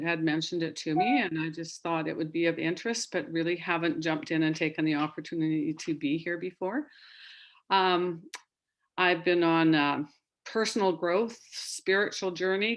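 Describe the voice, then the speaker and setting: An older Canadian woman introducing herself in an online circle, talking hesitantly with frequent ums and restarts. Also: lowish voice. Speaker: circle participant and volunteer board member. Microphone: video call.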